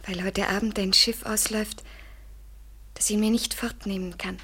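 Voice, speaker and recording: Breathy woman in ecstatic, desperate love. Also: mid-range voice, steady tone, a bit bright. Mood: feverish, pleading. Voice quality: breathy, often near whisper. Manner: slow, halting, intense, poetic delivery. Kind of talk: German literary radio play acting. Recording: 1970s radio drama studio recording.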